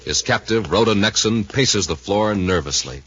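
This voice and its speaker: An actor as a formal, dramatic announcer, reading scripted narration for a 1950s radio adventure serial. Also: male voice, on the low side, animated, normal speed, fairly bright tone, breathy edge. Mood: dramatic, expository. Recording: noisy, muffled 1950s radio recording.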